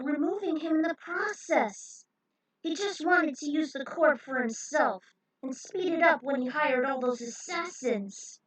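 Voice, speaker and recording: A woman's voice: high voice, rough recording. A woman reading a story aloud and adding casual commentary of her own. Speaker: narrator of a fan fiction reading.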